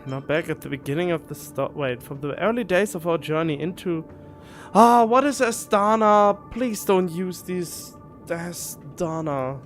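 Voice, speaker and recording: Male streamer, high voice, casual and questioning, thinking aloud over faint game music. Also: a little slow. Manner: puzzled, thinking out loud, questioning. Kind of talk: casual live playthrough commentary, reading dialogue aloud.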